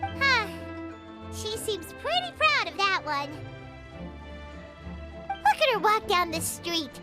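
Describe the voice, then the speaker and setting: An actress voicing a tiny, chatty, cheeky female companion sprite in a game, in a squeaky childlike voice. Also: very animated, over loud music, full character voice. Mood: gossipy. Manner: chatty, teasing, quick asides. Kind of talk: game dialogue. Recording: game score playing under the voice.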